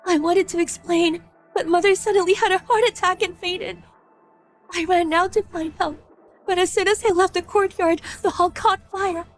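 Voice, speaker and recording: Timid, very high-voiced young wife. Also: female voice, fairly even intonation, moderate pace, soft background music. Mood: earnest, recounting. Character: timid, bullied young daughter-in-law of a noble house. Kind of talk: dubbed period drama acting.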